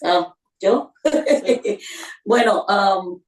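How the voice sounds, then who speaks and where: A woman's voice: medium pitch, animated, drawn-out words, distant mic. A middle-aged woman, telling her story as an interview guest with many small fillers. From Puerto Rico. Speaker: guest, community volunteer. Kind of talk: conversational interview answer. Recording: roomy sound, away from the mic.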